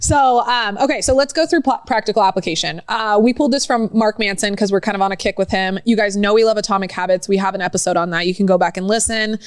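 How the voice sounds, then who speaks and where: Young female podcast co-host, animated and chatty, mid pitch, dry. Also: quick pace, few pauses, clear, resonant tone, dry recording. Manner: animated, laughs often, quotes herself in raised voice. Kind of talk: casual chat.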